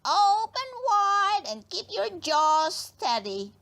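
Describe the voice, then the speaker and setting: A woman's voice: extremely high pitch, sing-song, voice pushed up, heavily acted. A woman voicing a grumpy, bossy little male dentist gnome who barks orders sharply in a storybook reading. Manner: sharp, commanding, brisk.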